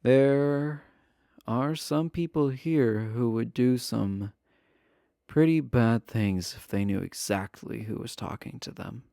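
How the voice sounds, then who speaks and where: A man's voice: mid-range voice, slow, creaky, dark tone, smooth, no echo, studio quality. A man playing a shy, adorkable imprisoned villain in a romantic roleplay, speaking to the listener in a hesitant, stammering, earnest way. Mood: bashful.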